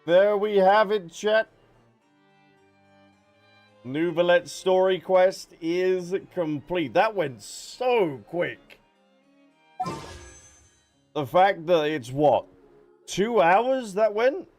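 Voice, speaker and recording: Chatty higher-voiced man livestreaming games. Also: expressive, drawn-out words, pauses often, a little mellow. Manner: chatty, addressing viewers directly. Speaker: game streamer. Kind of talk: casual livestream commentary. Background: game music.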